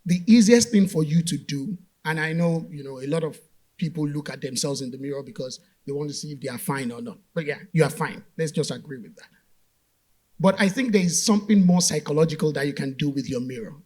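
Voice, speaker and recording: Direct, fairly high-voiced male panelist. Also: fairly quick, a little mellow. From Nigeria. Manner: direct, challenges premises, then builds to emphatic points. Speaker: panel speaker. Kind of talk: motivational panel talk. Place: conference stage.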